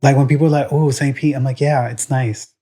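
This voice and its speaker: A man from Puerto Rico co-hosting a laid-back podcast. He meanders through his thoughts with plenty of "um" and "like", yet stays blunt and opinionated, pitched at a middle level for a man. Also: fairly even intonation, fairly quick, warm tone. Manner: rambling, full of "um" and "like"; frank and opinionated. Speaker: podcast co-host. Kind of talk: casual podcast chat.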